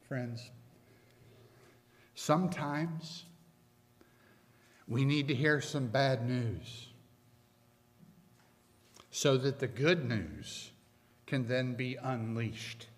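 Slow, emphatic middle-aged male preacher. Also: medium pitch. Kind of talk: sermon. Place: church sanctuary, at a pulpit. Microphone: pulpit microphone. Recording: long church reverb.

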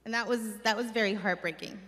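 A woman's voice: mid-range voice, steady tone, quick pace, fairly bright tone. A young woman from Mexico, a community organizer, earnestly reading a personal speech from notes at a stand mic on a large stage. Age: young adult. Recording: stage mic with long hall reverb.

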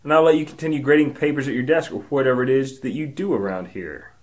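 A man's voice: mid-range voice, fast, lightly acted. A man playing a self-important, stern investigator questioning schoolchildren with melodrama in a comedic radio drama. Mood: stern, pompous.

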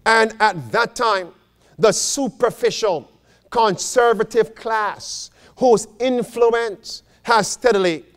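A man preaching a sermon as a pastor, repeating phrases for emphasis and putting questions to his listeners. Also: high-pitched. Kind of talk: sermon with scripture and quotations read aloud.

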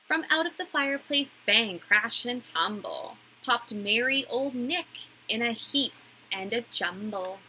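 A woman in her thirties reading a children's story aloud like a teacher, in a deliberate, animated storytelling way. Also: on the high side, a little hiss or hum, rough recording. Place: small indoor room.

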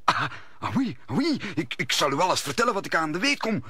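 Flustered, obsequious husband: very animated, piercing, stammering radio play delivery. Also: male voice, on the high side, a little fast, slightly rough, dry recording, exaggerated voice. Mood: servile, flustered. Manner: stammering, agitated. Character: flustered, servile, excitable husband, adult man.

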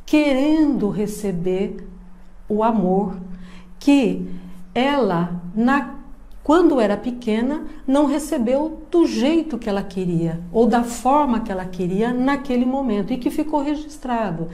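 Brazilian lady psychologist in her 50s giving a talk on webcam, kinda high voice, takes it slow. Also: animated, warm tone. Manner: slow, measured, explaining step by step. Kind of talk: calm educational lecture to camera. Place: indoor room with plants and a painting behind. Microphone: webcam framing, seated at desk.

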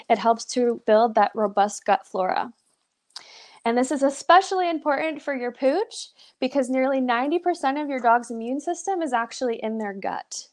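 A young woman presenting a live pet nutrition webinar over a video call, upbeat and encouraging, with lots of "so" and "awesome". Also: a little high. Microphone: webcam on a video call.

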